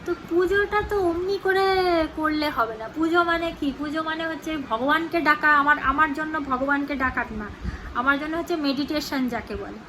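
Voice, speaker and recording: A woman's voice: sits high, animated, fairly quick, bright, forceful tone. A middle-aged woman with a West Bengal accent chatting casually for a home vlog, rambling and rephrasing as she goes. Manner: rambling, repeats and rephrases herself. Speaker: family vlogger. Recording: clearly noisy home recording.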